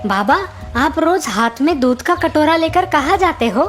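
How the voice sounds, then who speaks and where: A woman voicing a farmer's young son in an animated moral tale, eager, crafty and greedy. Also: high-pitched, normal speed, faint music behind. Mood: curious, dutiful.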